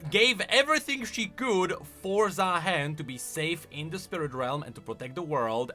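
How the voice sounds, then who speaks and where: A man giving casual, opinionated commentary as a gaming YouTuber, explaining as he goes in an animated way. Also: fairly high pitch. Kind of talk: casual commentary on game voice lines. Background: faint music.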